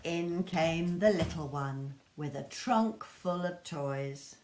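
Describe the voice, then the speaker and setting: A woman's voice: low voice, takes it slowly, darkish tone, slight background noise. A woman reading a children's story expressively as a storyteller. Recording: echoey room.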